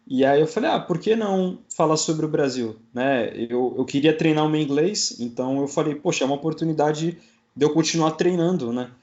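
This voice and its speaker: A man's voice: on the high side, fairly quick, a little mellow, muffled recording. A man with a Brazilian accent talking casually as an interview guest. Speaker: guest, podcaster. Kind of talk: casual chat.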